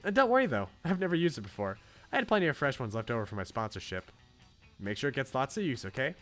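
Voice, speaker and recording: A man voicing a blunt, protective, teasing young woman coach in a visual novel, in a brisk, informal pep-talk manner. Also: medium pitch, very animated, a bit bright, soft background music. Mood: casual, teasing.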